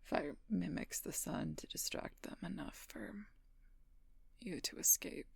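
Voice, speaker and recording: A woman playing a wounded, dutiful werewolf knight in an intimate audio roleplay, halting and weary, in a breathy, husky, gravelly whisper. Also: on the low side, no echo, exaggerated voice. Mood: impressed, wry. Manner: halting, weary, pained delivery. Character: wounded, dutiful female werewolf knight, wryly self-sacrificing protector.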